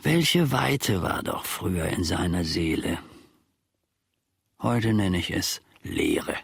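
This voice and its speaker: An older actor playing an embittered old manservant in a radio play monologue, brooding and querulous, theatrical and halting, with a husky, gravelly voice. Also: male voice, sits low, lively intonation, dry recording, heavily acted. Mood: bitter brooding. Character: embittered old manservant settling scores with his philosopher master.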